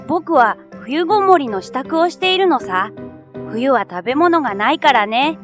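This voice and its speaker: A woman's voice: high voice, lively intonation, normal speed, fairly bright tone. A woman voicing a dreamy, earnest young male squirrel in a children's storybook, boyish and wistful, with music behind. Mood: friendly. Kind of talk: children's animated storybook dialogue.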